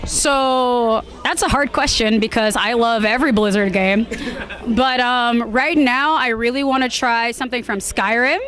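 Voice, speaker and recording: A woman giving a casual interview as a cosplayer, with a bubbly, expressive way of talking. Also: a little high, measured pace. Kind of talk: casual interview chat at a convention.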